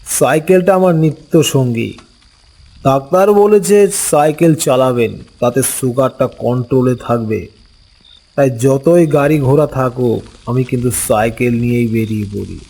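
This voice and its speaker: Slow-talking male storyteller. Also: medium pitch, gravelly, dark tone, rough recording. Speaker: storyteller narrating as the uncle. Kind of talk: slow dramatic scripted first-person story narration.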